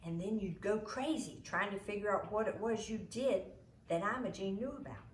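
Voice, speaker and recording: A woman reading a children's book aloud as a storyteller, in an expressive way. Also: mid-range voice, normal speed. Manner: expressive, acts out the dialogue. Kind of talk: storybook read-aloud narration. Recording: roomy echo, noisy home recording.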